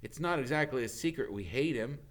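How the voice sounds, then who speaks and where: A man's voice: mid-range voice, animated, brisk, pitched above the performer's own voice, close to an everyday voice. A man voicing a troubled, downcast schoolboy, gloomy but insistent. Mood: worried insistent. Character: troubled, downcast schoolboy arguing his point.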